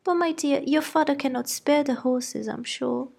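A woman voicing an excitable, fussy middle-aged mother who gushes eagerly in a storybook reading. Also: normal speed, vocal fry. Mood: gushing. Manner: shrill, gushing, eager.

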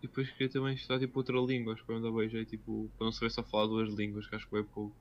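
A man's voice: lowish voice, completely flat delivery, very fast. A young man with a European Portuguese accent chatting casually as a podcast co-host in an informal, slangy way. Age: young adult. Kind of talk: casual chat. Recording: dull, lo-fi sound.

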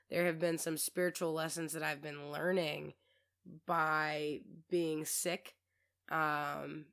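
A woman hosting her own podcast, talking in a rambling, chatty way while hoarse from losing her voice. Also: low voice, a bit bright. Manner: rambling, chatty, self-interrupting. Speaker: podcast host. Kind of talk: casual solo podcast monologue.

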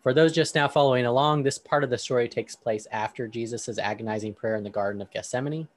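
A man hosting a podcast discussion, talking in a relaxed way with frequent ums, then reading a Bible passage aloud. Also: medium pitch, fast, no room sound. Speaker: podcast host.

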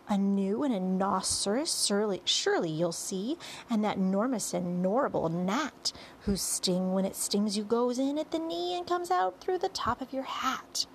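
A woman's voice: medium pitch, a little hiss or hum, rough recording. A woman voicing an exuberant, boastful male centipede who calls out gleeful verse in a storybook. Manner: shouting, exuberant, singsong verse.

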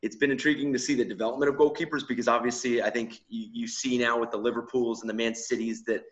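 A man's voice: mid-range voice, fairly even intonation, fast talker. A man co-hosting a podcast, talking casually in long, winding sentences with frequent restarts. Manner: discursive, restarts mid-sentence. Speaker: podcast co-host, coach. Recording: muffled lo-fi audio.

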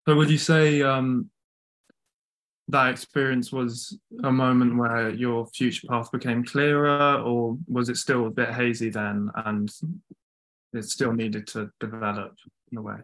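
A man interviewing a guest, asking calm, measured questions. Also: medium pitch.

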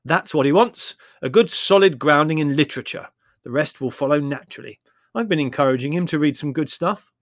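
A man's voice: mid-range voice, expressive, a little fast, no echo, clean studio sound, pitched above the performer's own voice. A man voicing a supercilious, opinionated young literary man in an audiobook, airy and emphatic. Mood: airy conviction. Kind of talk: audiobook character voice.

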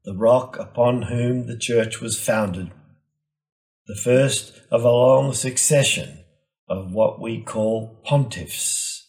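A man delivering a scripted, reflective homily in a measured, solemn and deliberate manner. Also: medium pitch, darkish tone. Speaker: preacher giving a Gospel reflection.

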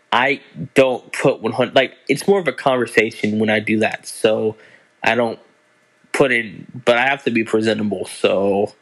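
A young man hosting a casual solo podcast, talking in a halting way with many restarts and stumbles. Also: medium pitch, fairly bright tone, poor audio. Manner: halting, restarts and fillers, slow unhurried delivery. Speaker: podcast host.

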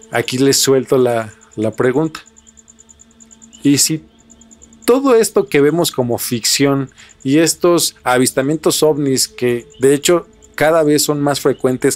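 A man's voice: mid-range voice, a little mellow. A man with a Mexican accent hosting a podcast, telling things conversationally and posing rhetorical questions as he speculates. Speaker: podcast host.

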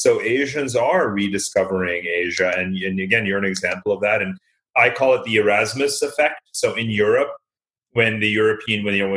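Low-voiced man explaining as an expert guest. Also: fairly bright tone, poor audio. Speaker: interview guest, author. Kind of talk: conversational expert commentary.

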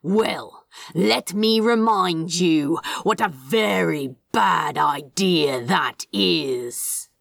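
A woman's voice: gravelly, no room sound, clean studio sound, full character voice. Woman voicing a menacing, scornful male demon. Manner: low, threatening, scornful, drawn-out emphasis. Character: menacing male demon scolding his houseplants. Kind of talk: fan fiction audiobook character voice.

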